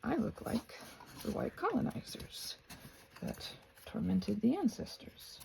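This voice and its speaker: American lady rambling while crafting, super slow and halting, really fried creaky voice, bit of background noise. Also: fairly high pitch, big pitch swings. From United States. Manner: halting, trailing off, soft-spoken asides. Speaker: craft and ASMR channel host.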